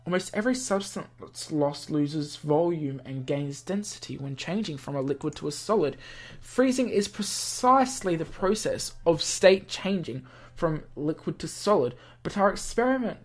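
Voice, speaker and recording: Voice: medium pitch, expressive, normal speed, close to an everyday voice. A player voicing a self-important, dramatic young male scientist from a visual novel game, with a theatrical, pompous delivery. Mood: pompous, excited.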